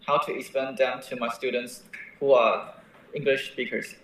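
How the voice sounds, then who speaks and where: Young man, native Mandarin speaker, halting interviewee, distant mic, heavy room echo. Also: medium pitch, fairly even intonation, fairly bright tone. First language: Mandarin Chinese. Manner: hesitant, pauses with fillers. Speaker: interviewee, language teacher. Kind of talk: answering interview questions. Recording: very echoey room, mic far off, faint noise.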